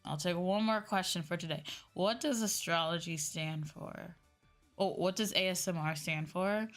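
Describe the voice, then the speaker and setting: A woman's voice: medium pitch, a bit bright. A woman livestreamer chatting casually with her viewers, with music playing behind her. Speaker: livestreamer, VTuber host.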